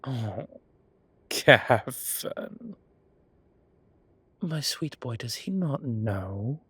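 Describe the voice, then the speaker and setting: A man voicing an old, fatherly, whimsical boss, fond and gently insistent, with theatrical old-fashioned phrasing in an audio roleplay drama. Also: medium pitch, big pitch swings, drawn-out words, breathy edge, dry recording, exaggerated voice. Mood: fond, concerned.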